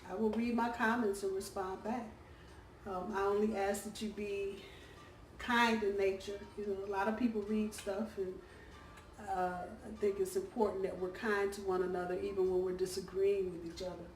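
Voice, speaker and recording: A hoarse woman giving a casual weekly tarot reading, chatty and full of ums and uhs. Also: mid-range voice, level tone, moderate pace. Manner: chatty, warm-hearted, lots of ums and uhs. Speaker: tarot reader. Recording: roomy, distant from the mic, faint background hum.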